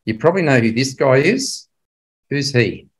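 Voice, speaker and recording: Male Bible class teacher, older, average pitch; explains history conversationally, leaning on key words and quizzing listeners. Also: very animated, normal speed. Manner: conversational, emphatic, puts rhetorical questions to listeners. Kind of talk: illustrated history lecture to a religious class.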